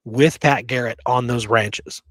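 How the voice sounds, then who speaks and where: Male podcast host, slightly low voice, dry close sound, casual history talk, colloquial, emphatic, frequent check-in questions. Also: fairly even intonation, a little slow, dry recording.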